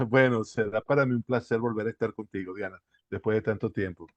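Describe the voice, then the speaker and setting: A man from Spain, a guest researcher, explaining his ideas in an earnest, reflective way and slowing down on key words. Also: lowish voice, no echo. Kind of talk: explanatory interview talk. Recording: dull, dry lo-fi line.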